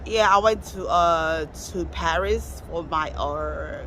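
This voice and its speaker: A woman in her forties from Cameroon, answering street interview questions in a halting way with many fillers. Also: deep voice, fairly bright tone. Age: 40s. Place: city street outdoors. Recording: outdoor street with traffic noise.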